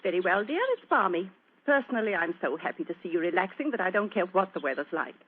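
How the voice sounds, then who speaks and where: A woman playing a doctor's affectionate, gently teasing young wife in an old-time radio drama, with a genteel manner. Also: on the low side, lively intonation, fast talker, natural voice. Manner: bright, genteel, wifely teasing. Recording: narrow-band old radio recording with hiss.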